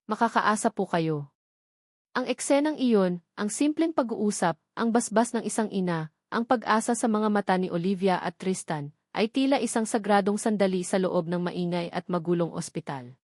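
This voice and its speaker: A woman reads a romance story aloud in a gentle, measured voice. Her pitch sits in a middle range. The sound is dry and close, with no room around it. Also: no echo. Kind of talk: scripted romance narration.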